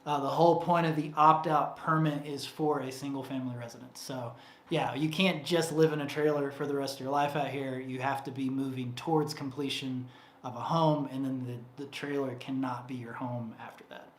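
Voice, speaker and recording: A man's voice: mid-range voice, steady tone, normal speed. A man co-hosting a casual livestream Q&A, rambling and chatty with lots of fillers and restarts, his voice getting tired from talking. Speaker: co-host of a livestream Q&A. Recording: roomy echo.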